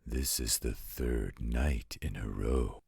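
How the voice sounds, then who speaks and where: An actor playing an overprotective, fatherly werewolf guardian in an audio roleplay, with a gravelly, husky voice and a careful, measured delivery. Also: very deep voice, darkish tone, no room sound, studio quality, full character voice. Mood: concerned, protective. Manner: slow, measured, careful explaining.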